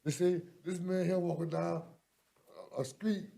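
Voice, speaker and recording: A man's voice: high-pitched, steady tone, moderate pace, vocal fry, mellow. A man in his seventies, a defendant pleading with a judge at a bond hearing, rambling and repeating himself with many a "ma'am". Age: 70s.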